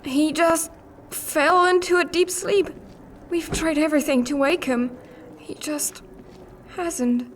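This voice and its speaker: A woman's voice: high-pitched, steady tone, takes it slowly, pauses often. A woman playing a worried, pleading young companion in a fantasy game, earnest and anxious. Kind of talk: scripted fantasy game acting. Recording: faint ambient noise behind.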